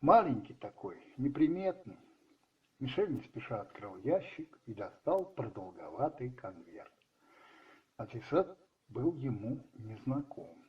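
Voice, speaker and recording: A man's voice: mid-range voice, very animated, measured pace. A man narrating a radio play in a brooding, theatrical way with emphatic stresses. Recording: dull muffled sound with music behind. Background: music.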